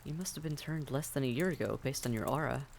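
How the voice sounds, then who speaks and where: A man's voice: mid-range voice, fast, creaky, slight background noise, lightly acted. A man playing a friendly, reassuring vampire innkeeper in a fantasy audio roleplay, speaking courteously and conversationally to the listener. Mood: friendly, curious.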